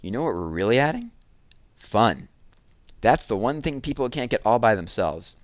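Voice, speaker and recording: A man's voice: medium pitch, big pitch swings, normal speed, no echo, natural voice. A man voicing an eager, clever young schoolgirl in a storybook, earnestly explaining things. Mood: excited, confident.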